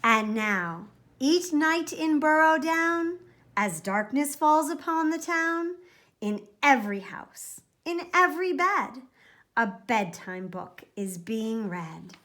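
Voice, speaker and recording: A woman's voice: high-pitched, lively intonation, takes it slowly, a bit bright. A woman reading a children's picture book aloud expressively, with dramatic, careful emphasis. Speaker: storybook reader.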